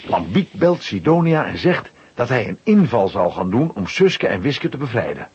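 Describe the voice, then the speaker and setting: A man's voice: mid-range voice, very animated, normal speed, darkish tone, a little hiss or hum. A man narrating a children's audio play in a scripted storytelling style. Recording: slightly dull old audio recording.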